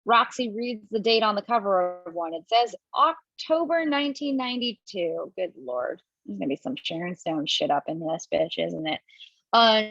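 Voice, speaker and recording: A woman's voice: mid-range voice, no echo. Woman reading stage directions on a call. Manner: lively, expressive reading with small slips. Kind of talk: table-read narration. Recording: dull lo-fi, dry.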